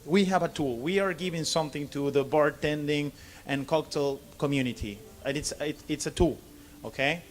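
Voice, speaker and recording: Higher-voiced man with Argentine Spanish accent. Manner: halting non-native English, frequent "okay?" check-ins. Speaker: bartender giving a seminar. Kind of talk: informal lecture to a live audience.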